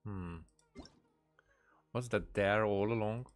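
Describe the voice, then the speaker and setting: Low-pitched man, musing game streamer. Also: slow, faint music behind. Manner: musing, hesitant. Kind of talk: casual live gameplay commentary.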